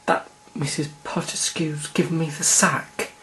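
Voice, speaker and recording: A performer voicing a soft-spoken, timid young woman of twenty. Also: mid-range voice, fairly even intonation, unhurried, a little hiss or hum, low-quality recording. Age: 20s.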